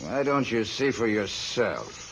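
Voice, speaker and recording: Slow-talking wary tough, noisy radio. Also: male voice, on the low side, expressive, fairly bright tone. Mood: grudging. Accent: American. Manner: terse, slangy, wary. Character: gruff, suspicious, slangy male flat dweller. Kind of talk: 1940s radio acting. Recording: dull 1940s radio recording with clear noise.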